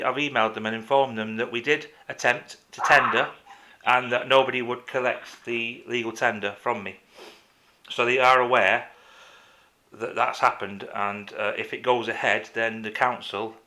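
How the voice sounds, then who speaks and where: A man making a complaint as a caller in a phone conversation, insistent and halting, often repeating himself. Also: mid-range voice, sharp tone. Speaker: caller to a council office.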